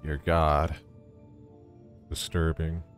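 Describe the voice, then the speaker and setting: A man streaming a game, making casual, profane commentary in deadpan, muttered asides. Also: rumbling low, extremely slow, over loud music. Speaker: streamer reading game dialogue aloud.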